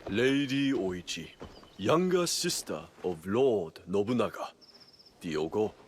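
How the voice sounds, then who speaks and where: Low-voiced, formal court attendant. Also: male voice, animated, slow pace, slight background noise. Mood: ceremonious. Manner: ceremonious. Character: formal, dignified attendant to a noble lady. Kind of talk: dramatic video game acting.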